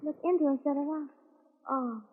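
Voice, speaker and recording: A woman's voice: high voice, moderate pace, lightly acted. An actress playing a naive, adoring American secretary in a 1940s radio comedy-mystery, girlish and wide-eyed. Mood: puzzled, naive. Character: naive, breathless, adoring young female secretary of a detective. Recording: thin, tinny 1940s radio broadcast.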